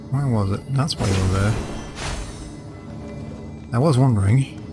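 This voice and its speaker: A man giving low-key, casual commentary as he streams a game, talking to himself in short remarks. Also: a little slow. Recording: music behind the voice. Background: game music.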